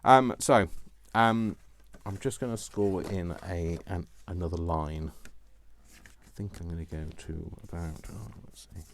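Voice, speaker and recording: Deep-voiced man rambling through a craft tutorial. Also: measured pace. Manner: rambling, self-deprecating, jokey asides. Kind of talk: casual, chatty craft demonstration. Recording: noticeable background noise, small clicks.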